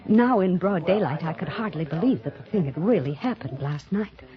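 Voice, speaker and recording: An actress playing an anxious young woman hiding a crime, speaking dramatically and deliberately in a 1950s radio mystery. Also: fairly low pitch, animated, crowd noise, lightly acted. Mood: hushed dread. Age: young adult. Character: anxious young woman hiding a murder, narrating her ordeal. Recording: muffled old radio broadcast, music behind.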